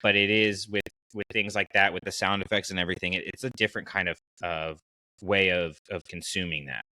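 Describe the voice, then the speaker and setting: A man's voice: low-pitched, fairly even intonation, a little fast, sharp tone, low-quality recording. A man hosting a podcast in a casual, informal and enthusiastic chatty style. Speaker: podcast host.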